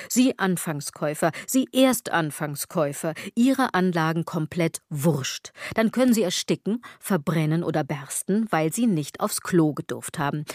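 Sardonic, low-voiced woman in radio monologue. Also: darkish tone, no echo, clean studio sound. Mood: bitter sarcasm. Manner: ironic, self-correcting, insistent rhetorical delivery. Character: sardonic, rambling woman brooding on fashion and clothes. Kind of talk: literary radio drama monologue.

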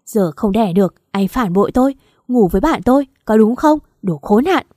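A woman's voice: mid-range voice, expressive, fairly quick, no room sound, lightly acted. A woman voicing a betrayed young wife who screams furious accusations at her husband and friend in a dry, close recording. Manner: shouting, raging accusations.

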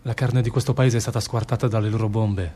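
An actor playing an anguished, devout public prosecutor in a theatrical radio drama, speaking solemnly and earnestly with a husky voice. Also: male voice, lowish voice, steady tone, quick pace, mellow, lightly acted. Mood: anguished, praying.

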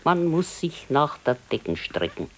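An actress playing a practical, contented older wife in a naturalistic radio play, speaking matter-of-factly with a Bavarian accent. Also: female voice, low voice, sing-song, a little slow, full character voice. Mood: resigned contentment. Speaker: radio drama actor. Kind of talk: naturalistic 1970s radio play dialogue in dialect.

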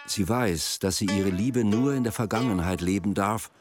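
A man reading scripted narration for a radio drama in a measured storyteller's manner. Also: on the low side. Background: plucked string music.

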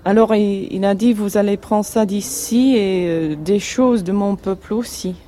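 A woman's voice: mid-range voice, measured pace, dark tone, slight background noise. Woman interpreting an interview. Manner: relays answers in the first person, plainly.

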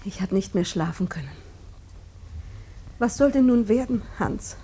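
Fretful older mother, radio drama: mellow, husky tone, worried and fearful. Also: medium pitch, lively intonation, measured pace. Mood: hushed, worried. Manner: worried, hushed. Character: anxious, fretful mother and wife, fearful of neighbours. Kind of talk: German radio drama acting.

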